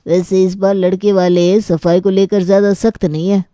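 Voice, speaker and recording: A woman voicing a polite male matchmaking priest in a storybook tale, measured and reassuring. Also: mid-range voice, flat delivery, dark tone, no room sound, clean studio sound, close to an everyday voice.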